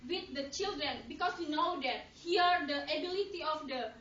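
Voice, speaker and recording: A woman giving a student debate speech, halting and full of fillers and restarts in non-native English, heard from far off in an echoey hall on a dull lo-fi recording. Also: high voice, a bit bright. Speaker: debater, third speaker of negative team.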